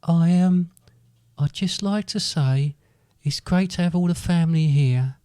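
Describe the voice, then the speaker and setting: A man's voice: on the high side, measured pace, warm tone, clean tone, no room sound, studio quality, natural voice. A man playing a humble, grateful, emotional family man in a comic amateur pantomime, speaking hesitantly. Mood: earnest, grateful. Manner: hesitant, stammering.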